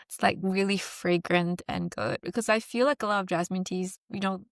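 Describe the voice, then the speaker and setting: Woman from singapore chatting casually on an interview, mid pitch, dry sound no room. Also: fairly even intonation, moderate pace, gravelly, no echo. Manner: chatty, informal, frequent "like" and "you know". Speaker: interview guest, fantasy novelist. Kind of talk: casual chat.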